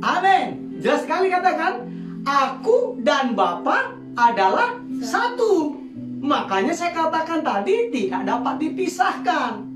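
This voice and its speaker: A woman's voice: high-pitched, sing-song, a little slow. Woman from medan preaching, keeps asking the crowd questions, roomy echo, guitar music under her. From Medan, Indonesia. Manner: asks rhetorical questions, calls for amen responses. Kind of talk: sermon teaching. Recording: echoey room, distant mic, music behind.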